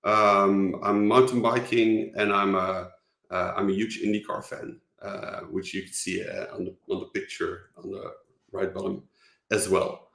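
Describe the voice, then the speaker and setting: A man with a Dutch accent gives an online conference talk in a fairly low voice. He stops and restarts often, filling gaps with ums. His voice carries the echo of the room around him. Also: level tone, gravelly, a bit bright. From Netherlands. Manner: halting, many fillers and restarts. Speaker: conference speaker.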